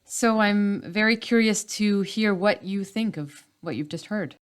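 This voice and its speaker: A woman hosting a podcast, speaking in a measured, articulate way as she introduces guests and frames questions. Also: medium pitch, clean tone. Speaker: podcast host.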